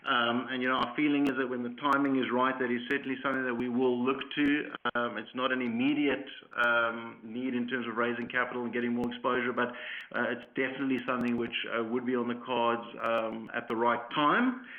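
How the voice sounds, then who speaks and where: A man's voice: medium pitch, level tone, fairly quick. Man on a muffled conference line. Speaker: company chief executive answering investor questions. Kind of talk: earnings call question and answer. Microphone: conference call line.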